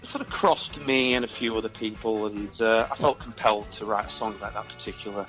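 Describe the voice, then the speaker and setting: A man with a bright, crisp-edged voice talks casually as a musician being interviewed. He is understated and hesitant, feeling his way through long, rambling answers. There is light music underneath. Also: fairly low pitch, a little fast, poor audio. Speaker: musician guest discussing his own album.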